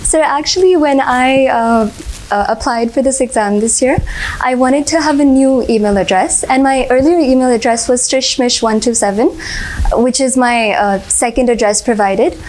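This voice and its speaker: A young woman in her twenties with an American accent and a Hindi-speaking home, answering interview questions politely, often saying "sir" and pausing on "uh". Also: high-pitched, darkish tone, a little hiss or hum, rough recording. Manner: polite, says sir often, frequent uh fillers, light laughs. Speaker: interview candidate. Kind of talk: formal mock interview answers.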